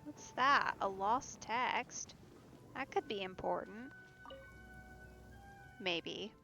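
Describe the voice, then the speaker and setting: A woman's voice: medium pitch, lively intonation, very slow, vocal fry, bright, forceful tone, faint music behind. A woman giving casual live commentary as she plays a game, musing aloud and reacting to it. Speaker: let's play streamer.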